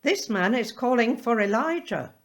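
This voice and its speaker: Woman as creaky, taunting bystander. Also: on the high side, expressive. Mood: mocking curiosity. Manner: loud, deliberate, declamatory. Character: taunting passer-by at an execution. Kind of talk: dramatised scripture reading.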